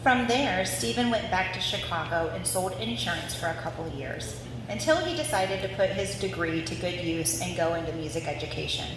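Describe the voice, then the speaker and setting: A woman's voice: on the low side, lively intonation, normal speed. A woman reading out a scripted family story before a live audience. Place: large reverberant room at a family gathering. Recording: very echoey hall with clear background noise.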